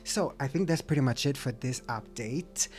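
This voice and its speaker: A man's voice: mid-range voice, normal speed, darkish tone, soft background music. A man talking openly to his camera in a casual vlog, reflective and encouraging, with an occasional stutter and restarts. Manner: open, reflective, self-encouraging. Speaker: vlogger.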